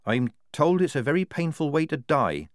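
Storybook soldier girl, read by a man: weary leader, plain-spoken, earnest, higher pitch. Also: big pitch swings, normal speed, no room sound, clean studio sound. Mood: resigned.

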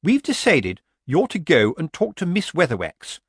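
A man's voice: on the high side, wildly swooping pitch, moderate pace, husky, dry recording, studio quality, voice pushed up, full character voice. A man voicing a stern, officious village witch in a storybook, prim and accusing in manner. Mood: indignant.

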